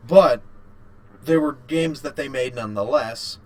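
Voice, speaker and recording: A man co-hosting a podcast in casual chat, rambling and haltingly repeating his phrases. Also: medium pitch, a little mellow. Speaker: podcast co-host. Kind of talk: casual chat about video games.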